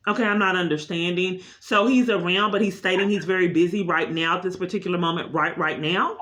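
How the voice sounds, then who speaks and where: A woman's voice: lowish voice, steady tone, brisk, a bit bright. A woman caller speaking unscripted in an insistent, long-winded complaint, often repeating herself.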